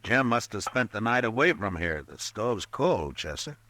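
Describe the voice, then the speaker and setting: An actor playing a steady, authoritative frontier marshal in an old radio drama. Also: male voice, on the low side, moderate pace, a little hiss or hum. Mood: calm. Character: steady frontier marshal, middle-aged man. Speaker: lead actor. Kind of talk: 1950s radio drama acting. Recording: old radio broadcast recording.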